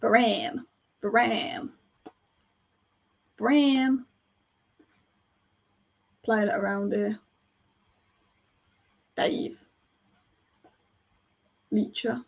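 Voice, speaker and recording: A woman with a fairly high voice rambles through a live match as a devoted fan, chatting, restarting her thoughts and reacting to each move. The audio is dull and lo-fi, like a home stream. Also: fairly even intonation, very slow, vocal fry, pauses often. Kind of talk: live match watch-along commentary.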